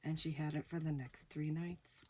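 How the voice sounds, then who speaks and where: A woman hosting a ghost story show, telling a personal haunting story slowly with hushed pauses, her voice a little off from a recent illness. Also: deep voice, steady tone, breathy edge, poor audio. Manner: slow, hushed, dramatic pauses. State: recovering from illness, voice sounds off. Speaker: storyteller host. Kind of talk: spoken ghost story narration.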